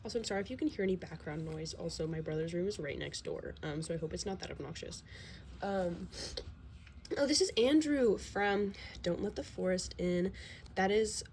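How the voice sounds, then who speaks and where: A teenage girl with a slight cold and a slightly low voice, rambling casually with plenty of fillers and self-deprecating asides. She sniffles now and then, and a faint hum of noise sits under her voice. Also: normal speed, darkish tone. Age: teens. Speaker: vlogger. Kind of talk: casual sketchbook tour.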